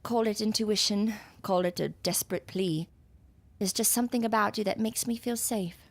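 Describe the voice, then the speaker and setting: A woman's voice: medium pitch, fairly even intonation, moderate pace, no echo, close to an everyday voice. A woman playing an eccentric, imperious doctor in a gothic audio drama, with theatrical, archaic and knowing delivery. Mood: confiding, intense. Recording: music under the voice.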